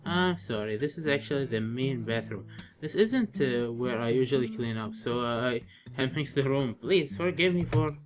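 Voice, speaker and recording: A man's voice: medium pitch, expressive, normal speed, lightly acted. A man voicing a timid, apologetic young maid in a visual novel, hesitant and deferential.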